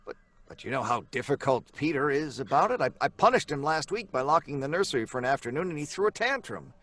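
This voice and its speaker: A man's voice: on the high side, big pitch swings, fast, a bit bright, dry recording. A man playing a reassuring father and husband in a live audio drama, with a soothing, paternal manner. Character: father George, reassuring then stern.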